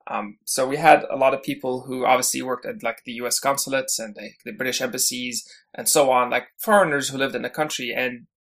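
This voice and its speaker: A man's voice: mid-range voice, fairly even intonation, a little fast, fairly bright tone. A man from Syria, a guest on a podcast, telling stories casually with lots of fillers and restarts. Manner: chatty, many fillers and restarts. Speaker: podcast guest, head of engineering. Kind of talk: casual interview storytelling.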